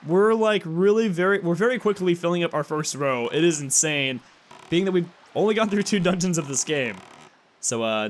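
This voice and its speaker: A tired man with a slightly raspy voice and occasional cracks, chatting casually as he comments on a video game he plays. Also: fairly high pitch, slight background noise. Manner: rambling, chatty, quick asides and chuckles. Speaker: let's play host. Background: game music.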